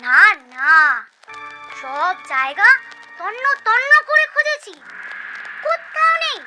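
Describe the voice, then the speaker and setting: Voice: extremely high pitch, sing-song, takes it slowly, full character voice, low-quality recording. A performer voicing an anxious young animal in a cartoon, worried and pleading. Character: anxious small animal, young nephew of a tiger. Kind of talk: cartoon voice acting. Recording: loud music behind the voice.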